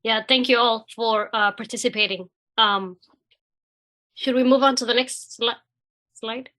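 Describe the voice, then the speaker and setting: A woman with a bright, fairly high voice runs a workshop on a video call. She sounds measured and encouraging as she walks the group through her slides. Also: fairly even intonation. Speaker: workshop facilitator. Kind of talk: leading a group discussion.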